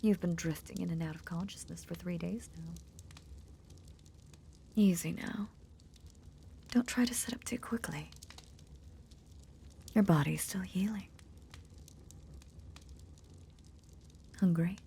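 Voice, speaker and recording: A woman playing a possessive lamia in an intimate roleplay audio drama, tenderly nursing in a breathy, half-whispered, seductive murmur with veiled menace. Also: fairly low pitch, mellow, slight background noise. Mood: gentle, doting. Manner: slow, soothing, seductive murmur.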